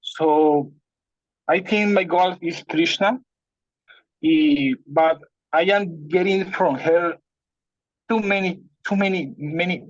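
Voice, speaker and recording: A man with a Spanish accent, whose first language is Spanish, shares a personal problem haltingly and searches for his words. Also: high voice, no echo, muffled recording. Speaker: participant sharing a personal problem.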